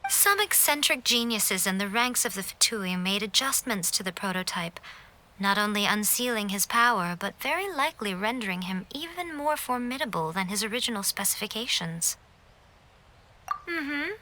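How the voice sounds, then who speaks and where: A woman voicing a knowing, courteous divine fox familiar in an anime video game, speaking in a measured, formal, gently wry manner. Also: medium pitch, animated, low-quality recording. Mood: explaining, composed.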